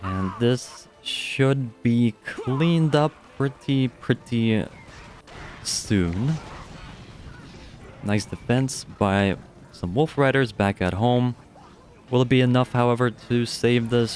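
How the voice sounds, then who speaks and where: A young man with a deep voice doing casual, chatty live commentary on a strategy game match, speaking into a studio microphone and swearing offhandedly. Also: slow, darkish tone, soft background music. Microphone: studio dynamic microphone.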